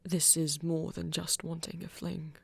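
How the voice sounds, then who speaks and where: A woman playing a flirty, tender vampire in an intimate ASMR romance, speaking gently and haltingly in a breathy half-whisper. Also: deep voice, flat delivery, full character voice. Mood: nervous, adoring. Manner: halting, gentle, careful phrasing. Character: flirty, tender vampire shyly confessing love to a hunter.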